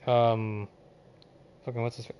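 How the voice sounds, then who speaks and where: A man doing casual, profane live game commentary as a streamer, often sounding confused and reacting out loud. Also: mid-range voice, level tone, measured pace, clean tone, soft background music. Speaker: video game livestreamer.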